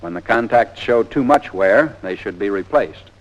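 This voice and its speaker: A man's voice: lowish voice, lively intonation, moderate pace, highs cut off. An American man narrating a 1940s army training film in a scripted, plain-spoken, methodical instructional style.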